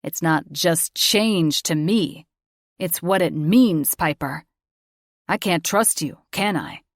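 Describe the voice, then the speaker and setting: A woman's voice: lowish voice, slow pace, no echo, studio quality. A woman voicing a menacing, controlling father. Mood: icy, menacing. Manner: menacing, accusing, clipped. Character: cold, controlling, furious middle-aged father. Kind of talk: audiobook character voice.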